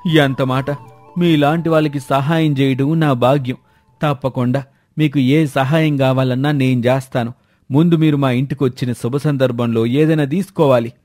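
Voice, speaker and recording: A man's voice: medium pitch, steady tone, moderate pace, darkish tone, no room sound, faint music behind, natural voice. A man playing a hospitable village father in a storybook drama, with an eager, deferential manner. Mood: welcoming.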